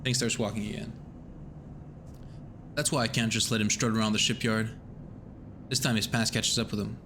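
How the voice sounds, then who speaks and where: A man voicing an intense, bitter male station worker who talks with grim urgency, his voice going hoarse. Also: lowish voice, flat delivery, moderate pace, bright, forceful tone, a little hiss or hum.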